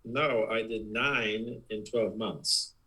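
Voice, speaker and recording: A man in his sixties from Poland with a Polish accent, talking as a guest in a casual interview, halting with many fillers and restarts. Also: fairly low pitch, steady tone, sharp tone, slightly rough, low-quality recording. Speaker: guest, production designer.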